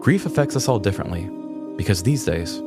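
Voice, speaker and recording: A man's voice: fairly low pitch, expressive, a little fast, loud music behind the voice. A man hosting a podcast, talking casually and narrating his own writing. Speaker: podcast host and story writer.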